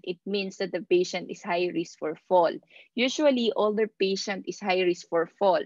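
A woman lectures as an instructor, reading points off slides and then explaining them. Her delivery is slow and halting, with pauses in the middle of phrases, at a medium pitch. The sound is that of a dull, lo-fi video call with very little room echo. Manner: halting, pausing mid-phrase. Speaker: instructor of nursing assistant course.

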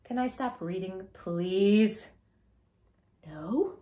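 A woman's voice: mid-range voice, drawn-out words, dark tone. A woman in her thirties reading a children's book aloud as a storytime host, with a theatrical, exaggerated silly delivery. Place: small living room, indoors on a sofa.

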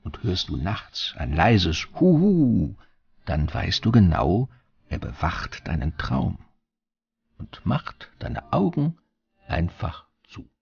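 A man reciting a bedtime poem slowly, in a scripted reading. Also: low-pitched, huge pitch swings, vocal fry, breathy edge. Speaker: poem reader. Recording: slightly dull, muffled sound.

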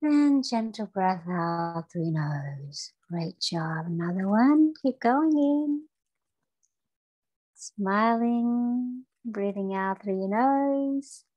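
Female meditation guide for kids, unhurried and gentle, encouraging instructions, very little room sound. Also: medium pitch, animated, dry recording, rough recording. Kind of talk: guided breathing meditation for children.

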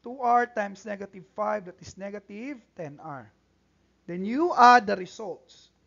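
A man's voice: sits high, lively intonation, unhurried, vocal fry, a bit bright. A man with a Filipino accent and Bisaya as his first language explains like a classroom teacher. He repeats steps and checks in often. The recording is dull and lo-fi. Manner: instructional, repetitive, checks in often. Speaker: math teacher. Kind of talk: tutorial lesson, code-switching explanation.

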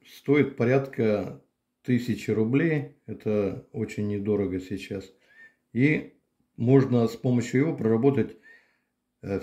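An older man casually demonstrating a massager at home, explaining plainly with pauses and fillers. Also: on the low side, dark tone. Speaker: channel host reviewing a massager. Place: home room, indoors. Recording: echoey room.

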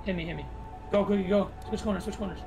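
A man streaming a video game with his team, chatting casually and repeating short commands rapidly. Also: sits high, steady tone, creaky. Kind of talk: casual gaming chatter and callouts. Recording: loud music over game voice chat. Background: loud music.

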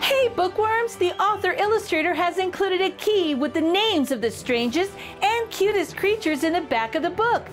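High, animated middle-aged woman reading to children. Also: soft background music. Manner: expressive, slow, exaggerated intonation. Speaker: children's storytime host reading a picture book aloud. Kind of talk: animated read-aloud for young children.

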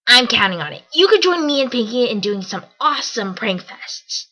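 A woman's voice: on the high side, big pitch swings, moderate pace, pushed and bright, rough recording. A woman voicing a cocky young female cartoon pegasus pony, confident and casual. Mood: casual, playful. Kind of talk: amateur cartoon fan audio drama.

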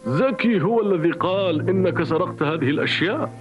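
Casting: avuncular old cartoon beetle, swooping high voice, clear music behind, dull soundtrack. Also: male voice, lively intonation, brisk. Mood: confiding. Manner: avuncular, reassuring. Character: kindly, encouraging old rhinoceros beetle. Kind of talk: dubbed cartoon acting.

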